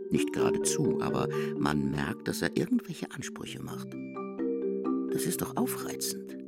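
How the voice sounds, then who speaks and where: An actor playing a scornful commentator in a literary radio drama, disparaging and pitying, with a gravelly, breathy delivery. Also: rumbling low, wildly swooping pitch, unhurried, full character voice. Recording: loud mallet-percussion music behind.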